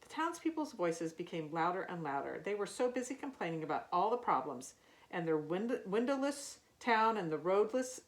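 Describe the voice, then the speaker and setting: A woman reading a children's picture book aloud as a storyteller, expressive and musing, as if wondering aloud to young listeners. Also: medium pitch, normal speed, rough recording. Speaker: youth ministry storyteller.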